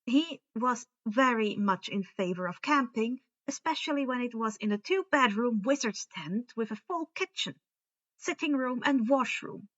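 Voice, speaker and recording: A woman reading a story aloud in expressive audiobook-style narration. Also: on the high side, normal speed, muffled recording. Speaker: story reader.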